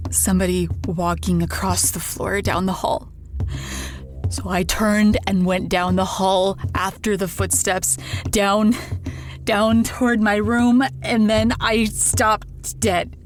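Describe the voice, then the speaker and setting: Actress doing a haunted anxious woman in a radio play, slow faltering telling, music underneath. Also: medium pitch, close to an everyday voice. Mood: frightened, urgent. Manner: halting, repetitive, faltering. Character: haunted woman anxiously confiding a ghost story. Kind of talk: audio drama monologue.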